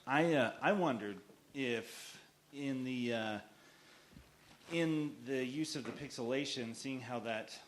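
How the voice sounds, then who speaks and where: A man in the audience with a loud voice, asking a rambling, hesitant question. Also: mid-range voice, takes it slowly, slight background noise.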